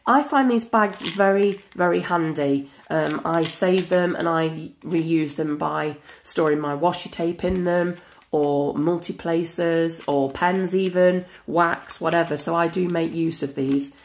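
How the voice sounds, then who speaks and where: Woman, hoarse with a cold, low and gravelly, chatty and excitable. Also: measured pace, slight background noise. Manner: chatty and enthusiastic, frequent fillers. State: croaky from a cold. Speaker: crafting channel host. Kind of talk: casual unboxing chat. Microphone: camera over a tabletop.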